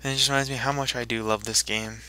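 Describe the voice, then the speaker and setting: Bright-voiced man doing let's play commentary. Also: medium pitch, steady tone, fast, a little hiss or hum. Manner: rambling, talks to himself while playing. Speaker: gaming video host.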